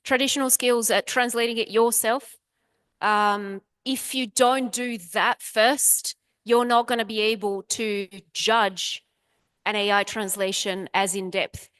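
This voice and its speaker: A woman speaks frankly and emphatically as a panel lecturer. Her fairly high voice is dry and close to the mic. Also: steady tone, measured pace, a bit bright, clear, resonant tone, no room sound. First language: Italian. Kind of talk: confident panel answer.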